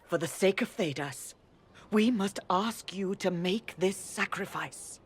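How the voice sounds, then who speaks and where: An actress playing an earnest, solemn senior cleric in a video game, speaking formally and deliberately. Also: female voice, medium pitch, husky. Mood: earnest, grave. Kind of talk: dramatic video game dialogue.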